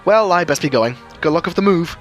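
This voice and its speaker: A man voicing a proud, eager and surprisingly gentle demon friend in a narrated story, earnest and a little showy. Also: fairly high pitch, expressive, brisk, faint music behind, voice pushed up, natural voice. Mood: nonchalant, friendly.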